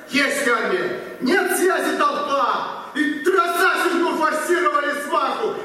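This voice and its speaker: Hearty male officer, played by an amateur actor, bright forceful voice, slow declamatory exclamations, distant echoey hall. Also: very high-pitched, exaggerated voice. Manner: declamatory, exclaiming, stammering repeats. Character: boisterous, hearty male army officer cheering a wounded comrade. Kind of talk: amateur stage-style audio drama. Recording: echoey hall, far from mic.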